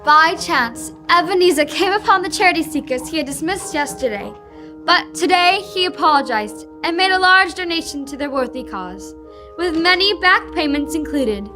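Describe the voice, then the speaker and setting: A woman's voice: extremely high pitch, expressive, normal speed, music in the background. A young woman narrating and announcing a scripted old-time radio play. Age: teenager.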